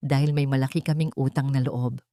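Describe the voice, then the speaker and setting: A woman's voice: extremely low pitch, fairly even intonation, a little fast, warm tone, dry recording, studio quality. A woman voicing a serious, emotional elderly mother-in-law who confides with worry and remorse in a narrated story. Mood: remorseful confession.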